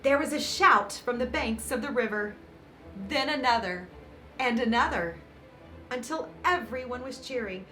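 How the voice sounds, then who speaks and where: A woman reading a picture book aloud to children as host, with dramatic emphasis and warm, encouraging asides. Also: fairly high pitch, animated, unhurried, low-quality recording. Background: background music.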